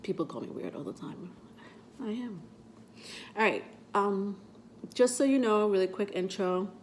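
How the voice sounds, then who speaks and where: A woman's voice: medium pitch, expressive, unhurried, a little hiss or hum. A woman with a stuffy voice from a cold, hosting a tarot vlog in a rambling, chatty way full of fillers. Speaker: tarot reader and channel host. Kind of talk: casual vlog talk to camera.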